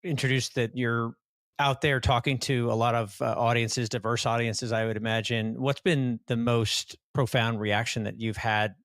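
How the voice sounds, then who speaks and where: A man co-hosting a podcast, asking measured, thoughtful questions. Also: mid-range voice, little pitch movement, a bit bright, no room sound. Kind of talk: casual podcast interview.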